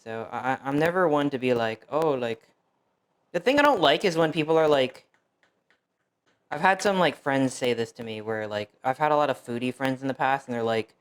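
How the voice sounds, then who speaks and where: A young man streams himself cooking and chats as he goes. His voice sits at an ordinary middle pitch, and his talk is loose and rambling, full of fillers and wry jabs at himself. He grew up in the United States, and his first language was Fuzhounese. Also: moderate pace, clear, resonant tone. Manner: rambling, filler-heavy, self-deprecating. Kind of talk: casual cooking stream chat.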